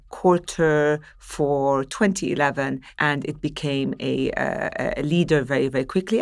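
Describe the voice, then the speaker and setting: Middle-aged woman, measured speaker, very dry sound. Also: deep voice, no room sound. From the Gulf. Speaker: entrepreneur, interview guest. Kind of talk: interview, explaining own story.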